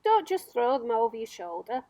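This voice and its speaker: A woman voicing a bossy, impatient male centipede in a children's storybook, with loud, emphatic demands. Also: fairly high pitch, very animated, normal speed, no echo.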